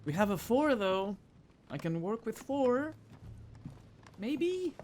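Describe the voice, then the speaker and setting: A man's voice: very high-pitched, big pitch swings, slow, darkish tone, slight background noise. A man giving casual let's play commentary, thinking aloud informally as he works through a game. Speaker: gamer playing a puzzle game.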